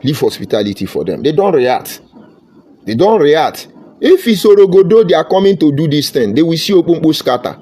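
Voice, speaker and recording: A man's voice: on the high side, very animated, normal speed, warm tone, breathy edge. Fervent Nigerian man ranting. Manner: fervent and combative, slipping into Pidgin phrases. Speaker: political commentator. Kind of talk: impassioned political monologue.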